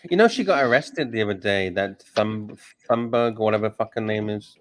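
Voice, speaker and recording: A man hosting a livestream, reading super chats aloud and chatting casually, animated and swearing. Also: fairly low pitch, normal speed, no room sound. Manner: animated, swears, mocks and laughs. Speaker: livestream host.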